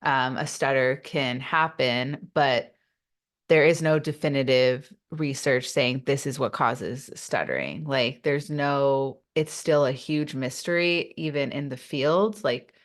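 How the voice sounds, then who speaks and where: Low-voiced slow woman who stutters. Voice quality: stutters, with repeated sounds and blocks. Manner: open and reflective, with many fillers. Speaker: podcast guest, stuttering advocate. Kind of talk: casual interview talk.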